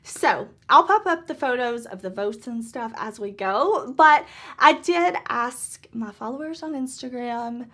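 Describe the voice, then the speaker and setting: Hoarse, chatty woman in her thirties. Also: on the high side, expressive, a little slow, pushed and bright. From Southern United States. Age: 30s. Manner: chatty and upbeat, laughs often. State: slightly hoarse. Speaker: beauty vlogger. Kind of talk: casual get-ready-with-me makeup chat.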